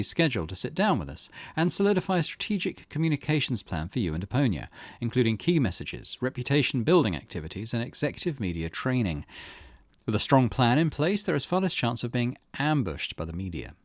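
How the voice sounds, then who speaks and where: A man reading the part of a formal, businesslike woman publicist in a visual novel, with measured corporate phrasing. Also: medium pitch, very animated, no room sound, studio quality, lightly acted. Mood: polished, businesslike.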